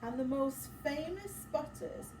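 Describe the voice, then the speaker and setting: A woman's voice: high voice, animated, slow. Echoey, distant woman teaching young children. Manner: questioning, explaining simply to children. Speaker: primary school teacher. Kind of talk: interactive story-time lesson for young children. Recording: noisy echoey room, distant mic.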